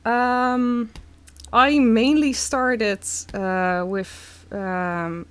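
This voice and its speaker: A young Dutch woman with a Dutch accent, chatting casually and haltingly while reading comments on a live stream. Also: on the high side, steady tone, fairly bright tone, clear, resonant tone. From Netherlands. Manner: slow, halting, many fillers.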